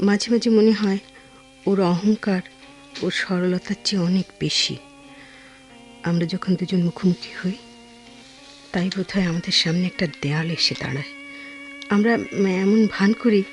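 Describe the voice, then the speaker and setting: A woman acting a tender, pensive young woman in a radio drama, soft, earnest and a little hesitant. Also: on the low side, normal speed, pauses often, soft background music, lightly acted. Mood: wistful, dreamy.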